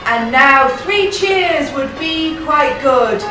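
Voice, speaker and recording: A woman playing a pantomime storyteller, narrating in rhyme with a measured, declamatory delivery. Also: sits high, lively intonation. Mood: ceremonial. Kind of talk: theatrical rhyming pantomime narration. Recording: distant, very echoey, music and crowd behind.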